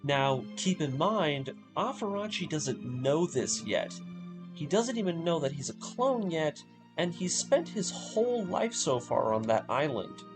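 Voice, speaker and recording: A man's voice: a little high, sing-song, unhurried. A man narrating a scripted story recap in a dramatic storytelling manner, as in a fan video essay. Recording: voice over background music. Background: music.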